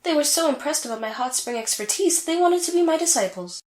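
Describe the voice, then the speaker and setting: Haughty, high-voiced anime sorceress, echoey. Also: female voice, expressive, fast talker, a little mellow. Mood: boastful, haughty. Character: vain, haughty young female sorceress rival from an anime. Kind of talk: comedic anime fan audio drama acting.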